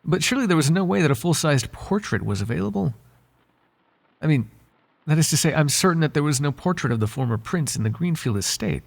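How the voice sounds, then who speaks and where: Husky, hesitant devoted butler, acted by a man. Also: on the high side, expressive. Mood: nervous evasion. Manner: soft-spoken, polite formal diction, hesitant and halting. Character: devoted, flirtatious male butler, secretly a lost prince. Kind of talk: ASMR roleplay voice acting, intimate one-sided dialogue.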